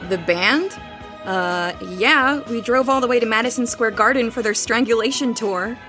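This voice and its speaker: A woman's voice: a little high, normal speed, bright, forceful tone, soft background music. A woman playing a studious, skeptical young roommate, speaking bluntly and dryly in an audio drama. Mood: incredulous, dry. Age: young adult. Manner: dry, matter-of-fact, challenging. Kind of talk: horror audio drama dialogue.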